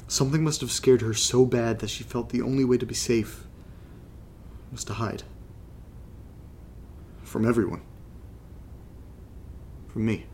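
Actor as gravelly, hesitant troubled young man. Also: mid-range voice, steady tone, a little slow, pauses often, mellow, slight background noise, natural voice. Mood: troubled, searching. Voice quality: slightly congested, gravelly. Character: troubled jobless young man recording a confessional diary. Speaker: podcast narrator in horror audio drama. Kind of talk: confessional audio diary, casual halting monologue.

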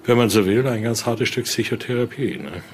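An older man with a mid-pitched voice gives a spontaneous guided explanation. He narrates step by step and often tacks on small questioning tags. Noticeable ambient noise sits behind him. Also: animated, fairly quick. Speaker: museum guide and interviewee. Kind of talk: spontaneous guided-tour storytelling. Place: indoor exhibition room. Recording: clearly noisy room ambience.

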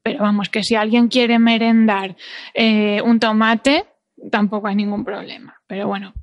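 A woman's voice: medium pitch, flat delivery, moderate pace, dull audio. A woman with an accent from Spain explaining things as an expert podcast guest in a didactic, emphatic, conversational way. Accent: Spanish from Spain. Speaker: dietitian-nutritionist, podcast guest.

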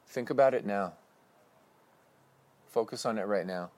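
A man leading a guided breathing meditation with slow, calm, instructive cues. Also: on the low side, no echo.

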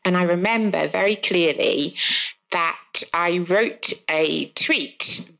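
Welsh woman, lower voice, talkative guest author at a live podcast, laughs at herself. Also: slow pace, vocal fry, a bit bright, rough recording. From South Wales. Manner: self-deprecating, chatty, laughs often.

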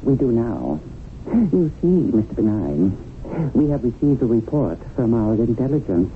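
An actor playing a stern, exacting heavenly supervisor in a 1970s radio drama, officious, skeptical and reproving. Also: male voice, mid-range voice, very animated, measured pace. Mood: grave, skeptical. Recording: tinny, faintly noisy vintage radio broadcast.

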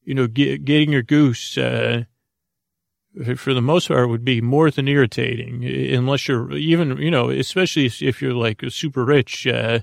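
A man speaks in a creaky, fry-laden voice with very little room sound around it. He rambles like a bedtime podcast host, hesitating, restarting phrases and wandering into digressions. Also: mid-range voice, fairly even intonation, no room sound, studio quality. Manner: halting, hesitant, digressive, with frequent false starts and repetitions. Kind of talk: rambling, meandering bedtime monologue.

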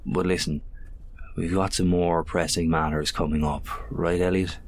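A man's voice: sits low, flat delivery, normal speed, a little hiss or hum. A man acting a practical, matey survivor who takes the lead in a horror audio drama. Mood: steadying. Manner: matey, directive. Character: Ryan, level-headed, practical man steering fellow survivors.

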